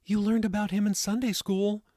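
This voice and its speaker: A man's voice: sits high, little pitch movement, normal speed, warm tone, no echo, clean studio sound, pitched above the performer's own voice. A man voicing a playful young mother in an audiobook story. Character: young wife and mother, playful, expecting a baby.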